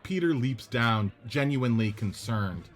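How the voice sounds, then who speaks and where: A man narrating the stage directions of a radio play script in a steady, descriptive way. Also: mid-range voice, a little slow.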